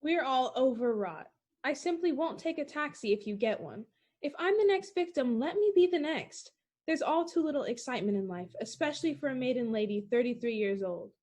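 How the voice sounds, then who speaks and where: A woman playing a bold, dismissive small-town woman of thirty-three in a 1940s-style radio play, brisk and scoffing. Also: sits high, expressive, darkish tone. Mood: breezy defiance.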